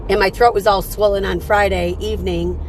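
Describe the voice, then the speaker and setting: A woman hosting a casual morning livestream, chatty and upbeat, her voice slightly raspy from a cold. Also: medium pitch, little pitch movement, a little fast, slight background noise, poor audio. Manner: upbeat, chatty, greets viewers by name. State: fighting off a cold. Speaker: livestream host.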